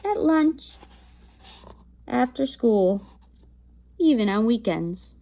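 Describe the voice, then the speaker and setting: Lady doing a kids story read aloud, mellow soft-edged voice, unhurried, really acts out the words. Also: fairly high pitch, creaky. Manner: animated, with acted sound effects and emphatic drawn-out phrases. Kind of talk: expressive children's picture-book read-aloud with chatty asides to listeners.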